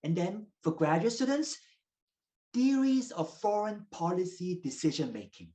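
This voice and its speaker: Lively-toned man explaining politics. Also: sits high. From South Korea, raised in Russia. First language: Korean. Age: 30s. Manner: measured, lecture-like explaining. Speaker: guest professor. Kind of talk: explanatory interview answers.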